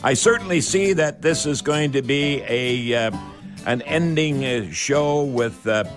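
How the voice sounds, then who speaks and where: An older man with a mid-pitched voice hosts a home-improvement call-in show. He talks casually and folksily, rambling along with old-fashioned turns of phrase. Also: unhurried, over music. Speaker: radio call-in show host.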